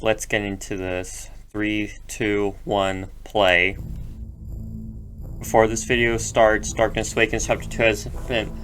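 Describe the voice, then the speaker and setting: Rambling young guy reacting. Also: fairly low pitch. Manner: rambling, halting, repeats words. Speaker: youtuber reacting to a video. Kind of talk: casual reaction commentary.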